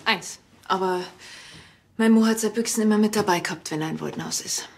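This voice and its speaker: An actress with a Bavarian accent playing a blunt, earthy widowed village butcher in a TV drama. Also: female voice, mid-range voice, fairly quick, creaky, slight background noise, lightly acted. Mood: matter-of-fact. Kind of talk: naturalistic TV drama acting.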